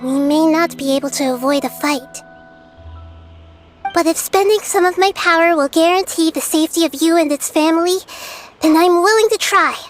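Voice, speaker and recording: A woman voicing a gentle young girl goddess of nature in a video game, who speaks in a calm, thoughtful and earnest way. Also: very high voice, normal speed. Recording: game soundtrack playing loudly behind. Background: game soundtrack music.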